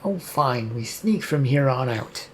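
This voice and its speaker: A man's voice: mid-range voice, lively intonation, a little slow, breathy edge. A man playing a cautious, questioning female investigator in an audio story. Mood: grudging.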